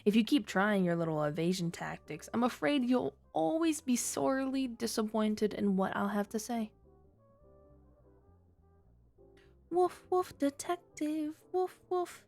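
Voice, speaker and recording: A woman's voice: fairly high pitch, big pitch swings, normal speed, gravelly, few pauses, a little mellow. A woman playing a flirty, taunting underworld rogue in an ASMR audio roleplay, with a teasing, sardonic drawl. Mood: teasing, seductive. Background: music.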